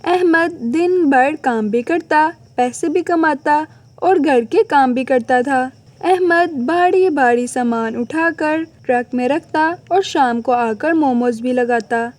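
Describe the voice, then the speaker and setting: A woman's voice: sits high, slow, no room sound. A woman narrating a scripted cartoon moral story for the listener.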